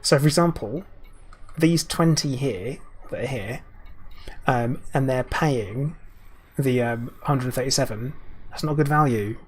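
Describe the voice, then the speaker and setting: A man's voice: mid-range voice, measured pace, slightly rough. A man with a slightly scratchy voice, chatting through a live game stream, muttering asides and answering viewers by name at his desk mic. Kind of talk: live gameplay commentary.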